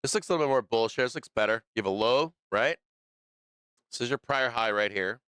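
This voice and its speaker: A man's voice: medium pitch, lively intonation, a little fast, a bit bright, clean tone, dry recording, clean studio sound. A man hosting a live stock market stream, losing his voice, thinking aloud through the charts in a loose way with fillers and restarts. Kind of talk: live trading commentary over charts.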